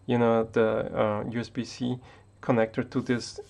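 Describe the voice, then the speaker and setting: A man's voice: mid-range voice, flat delivery, moderate pace, creaky, a little mellow. A man from Austria, not an English speaker, talking unscripted to the camera as a tech YouTuber, hesitant with many restarts.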